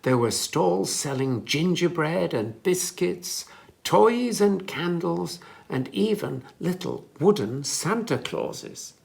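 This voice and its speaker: A children's storyteller reading a scripted story aloud for young listeners, with expressive, careful phrasing. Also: medium pitch, takes it slowly, vocal fry.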